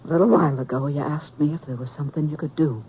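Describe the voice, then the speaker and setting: Female, worried American frontier woman, earnest and pleading, husky tone, muffled old radio western recording. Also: deep voice, steady tone, fast talker. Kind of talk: 1950s radio western acting.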